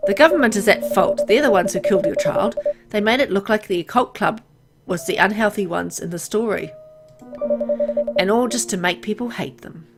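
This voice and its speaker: A woman voicing an embittered, world-weary immortal man in a visual novel, explaining things gravely. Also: mid-range voice, normal speed, natural voice. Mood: bitter, explaining. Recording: loud music behind voice.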